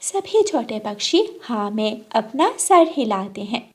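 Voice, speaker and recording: Woman narrator for children's cartoon tales, higher register, scripted storytelling delivery. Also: very animated, slow pace.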